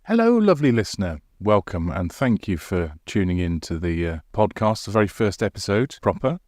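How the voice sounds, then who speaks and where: A man's voice: on the low side, very animated, normal speed, darkish tone, dry recording, clean studio sound. A man hosting a podcast, speaking to listeners as its writer and presenter. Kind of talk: podcast presenting, credits and informal asides.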